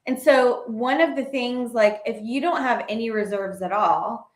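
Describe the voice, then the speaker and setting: A woman co-hosting a casual chat about money, talking in an animated way and sometimes losing her place. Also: on the high side, moderate pace, echoey room. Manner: animated, laughs often, loses her place. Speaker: co-host. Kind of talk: casual financial advice chat.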